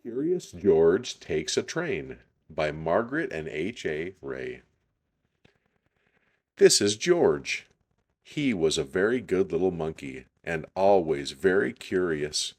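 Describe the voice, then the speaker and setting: A man reading a children's story aloud in an unhurried, deliberate storytelling style. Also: sits low, sing-song, darkish tone.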